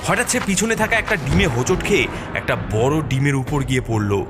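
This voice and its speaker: Male narrator: dramatic, suspenseful storytelling for a horror cartoon, mid-pitched, with clear music bed. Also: lively intonation, brisk. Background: prominent background music.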